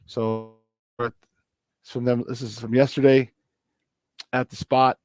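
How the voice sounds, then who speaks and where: A male sports talk host, chatting in a loose, animated way and doubling up words to stress a point. His voice is a touch hoarse, at an everyday middle pitch, and it sounds dry and close with no room around it. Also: takes it slowly, no room sound. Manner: animated storytelling with repeated words for emphasis. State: slightly hoarse. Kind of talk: casual morning show chat.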